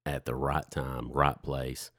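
Very deep-voiced man. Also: little pitch movement, takes it slowly, fairly bright tone, dry recording, studio quality. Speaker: host. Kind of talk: casual faith conversation.

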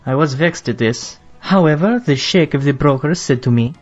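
A man's voice: on the high side, normal speed, close to an everyday voice. A man playing a young merchant from Baghdad, telling his tale in formal, declamatory archaic English for an audio drama. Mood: measured storytelling. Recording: dull lo-fi sound, faint music behind.

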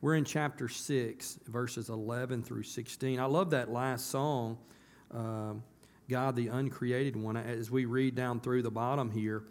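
A hoarse man, a pastor talking to his congregation in a casual, folksy way that turns emphatic. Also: mid-range voice, fairly even intonation, a little slow. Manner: folksy, conversational, rising to emphatic. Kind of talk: casual church announcements and sermon. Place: church sanctuary.

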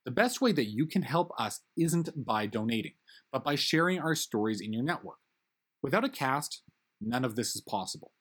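A man's voice: medium pitch, sing-song, normal speed, fairly bright tone, breathy edge. A man reading the closing credits and a friendly outro for an audio drama. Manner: friendly, upbeat, conversational.

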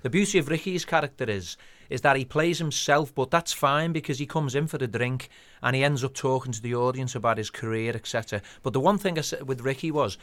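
A man's voice: mid-range voice, brisk, few pauses, fairly bright tone. A man with a Liverpool Scouse accent, telling anecdotes as a guest writer and director in a radio interview. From Liverpool, England.

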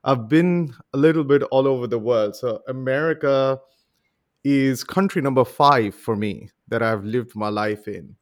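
Man in his forties with an Indian accent. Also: medium pitch. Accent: Indian, mixed with international influences. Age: 40s. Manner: playful, joking, measured phrasing. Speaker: guest musician. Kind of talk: casual podcast interview.

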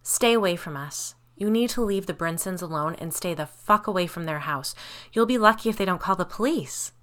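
A woman voicing a defiant, frightened, foul-mouthed heroine of a ghost story, snappish and forceful. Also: fairly low pitch, animated, moderate pace. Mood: angry.

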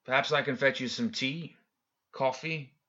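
A man's voice: medium pitch, unhurried, sharp tone, lo-fi sound, voice pushed up. A man voicing a smug, always-controlled professor villain in an audiobook, speaking with formal, mocking courtesy. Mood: falsely genial. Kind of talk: audiobook character acting.

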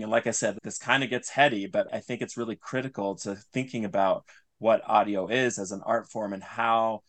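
A man speaking as an interview guest, explaining his creative thinking in an earnest, discursive, analytical way. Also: on the low side. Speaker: interview guest, audio drama writer. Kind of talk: reflective interview talk about craft.

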